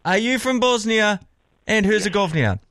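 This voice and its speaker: A man's voice: sits high, lively intonation, moderate pace, a bit bright, dry recording, studio quality. A man with a New Zealand accent, a radio breakfast host chatting casually in a jokey, bantering way. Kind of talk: casual on-air chat.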